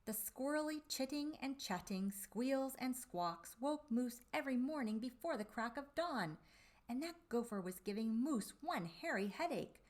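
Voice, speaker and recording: A woman reading a children's picture book aloud expressively, with theatrical drawn-out emphasis and dramatic pauses. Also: high-pitched, expressive. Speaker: storybook reader for children.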